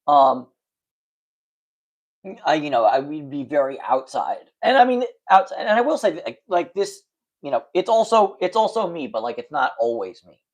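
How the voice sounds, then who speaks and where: A man livestreaming late at night, chatting casually and frankly with his viewers, with a stutter that makes him repeat words and restart sentences. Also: on the high side. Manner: rambling, frank, lots of fillers and restarts.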